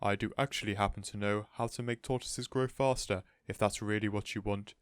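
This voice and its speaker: A man playing a shy, lovestruck gentleman who speaks politely and earnestly in a storybook reading. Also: on the low side, steady tone, fairly quick, clean tone, no echo, studio quality, close to an everyday voice. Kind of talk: storybook character voice in audiobook reading.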